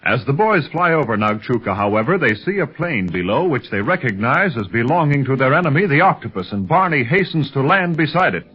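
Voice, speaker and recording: A man's voice: mid-range voice, sing-song, brisk, hardly any pauses, husky. A man narrating a 1930s radio adventure serial as its announcer, in a dramatic, urgent manner. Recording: muffled vintage 1930s radio recording.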